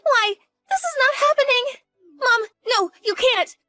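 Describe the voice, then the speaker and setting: A woman's voice: extremely high pitch, expressive, normal speed, fairly bright tone, no room sound. A woman voicing a devoted college-age daughter who talks earnestly and grows panicked in a dramatized story.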